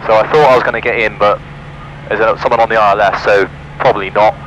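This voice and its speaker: A young man flying a small plane, calmly talking through his checklists over a headset mic. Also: on the low side, fast, lo-fi sound, a little hiss or hum. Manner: calm, methodical checklist talk. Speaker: pilot. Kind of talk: flight vlog commentary. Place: small aircraft cockpit. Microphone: headset boom mic.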